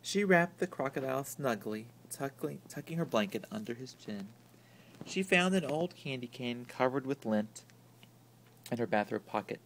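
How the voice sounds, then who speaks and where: A man reading a children's story aloud in an expressive, theatrical way that swings from hushed to booming. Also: moderate pace, slight background noise, poor audio. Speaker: storybook reader.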